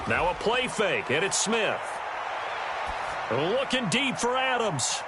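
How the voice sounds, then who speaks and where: High-voiced American football announcer. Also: male voice, very animated, slow, very noisy, full character voice. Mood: brisk, focused. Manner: punchy, polished, short descriptive calls. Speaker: play-by-play sports commentator. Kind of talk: American football broadcast commentary in a video game. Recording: game music over the commentary.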